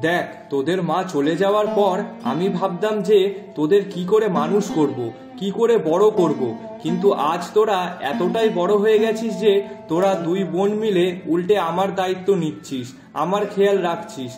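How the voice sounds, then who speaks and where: A man playing a gentle, fatherly middle-aged village fisherman in a cartoon moral story, talking in a soft, reassuring way. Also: high voice, normal speed, natural voice. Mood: tender, pensive. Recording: roomy echo, music underneath.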